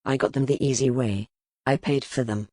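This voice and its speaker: Woman voicing a low, curt, weary medieval duke. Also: steady tone, normal speed, a little mellow, dry recording, studio quality. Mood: dry. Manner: terse, formal, guarded. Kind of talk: audiobook character dialogue.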